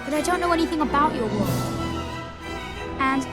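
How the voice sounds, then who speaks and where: A woman voicing a gentle, timid, soft-hearted young boy in an animated fantasy game, speaking hesitantly. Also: high voice, normal speed, loud music behind the voice. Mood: reluctant, timid.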